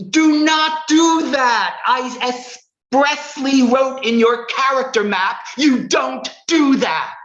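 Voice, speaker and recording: A man's voice: very high voice, takes it slowly, few pauses, a bit bright, full character voice. Man acting a controlling novelist, exasperated, lecturing, echoey room, muffled sound. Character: controlling male novelist arguing with his own character. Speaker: improv performer. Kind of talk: improvised stage comedy. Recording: dull, roomy sound.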